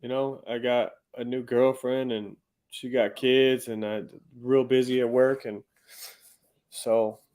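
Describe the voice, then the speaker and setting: A man in his thirties hosts a podcast in a relaxed, easygoing way at an ordinary mid pitch. He speaks in loose slang and pauses on "uh" as he works out his next question. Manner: easygoing, slangy, frequent "uh" fillers. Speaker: podcast host. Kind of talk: casual interview chat.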